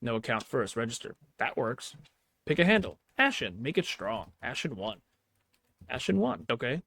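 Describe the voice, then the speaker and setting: A man's voice: mid-range voice, big pitch swings, moderate pace, creaky, a bit bright. A man giving casual, unscripted tech commentary over a screen recording, slangy and reacting aloud as he goes. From Pakistani background. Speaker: tech YouTuber, host.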